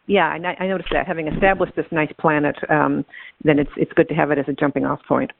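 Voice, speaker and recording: A woman chatting thoughtfully about books as a guest, pausing often with ums. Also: low voice, little pitch movement, gravelly, no room sound. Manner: thoughtful, hesitant with many ums. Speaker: guest, author's daughter. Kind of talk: casual book discussion. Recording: dry tinny phone line.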